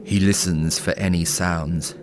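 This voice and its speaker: A man narrating a scripted horror story in a measured, descriptive way over loud storm ambience. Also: deep voice, steady tone. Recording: heavy noise behind the voice.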